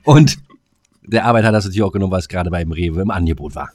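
A man's voice: low-pitched, fast talker, darkish tone, dry recording, clean studio sound. A man, co-hosting a casual podcast in a blunt, colloquial way, sometimes talking while eating. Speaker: podcast co-host.

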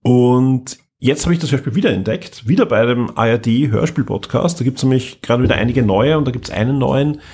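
Austrian male podcast host, slightly rough husky voice, conversational moderating and reviewing. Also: mid-range voice, normal speed, hardly any pauses, dark tone. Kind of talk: casual moderating and review chat.

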